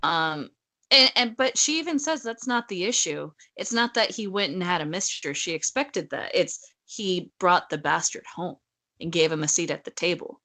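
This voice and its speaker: Forceful, bright-voiced woman, slightly low. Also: expressive, moderate pace. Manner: blunt, argumentative, mimics quotes. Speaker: podcast co-host. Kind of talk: casual book discussion.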